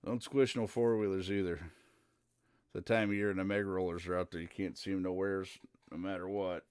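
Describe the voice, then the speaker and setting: A man's voice: sits low, steady tone, moderate pace, darkish tone, clean tone, no echo, clean studio sound. A man from central Minnesota, chatting casually and rambling on a live stream. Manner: rambling and easygoing, with frequent "uh" fillers.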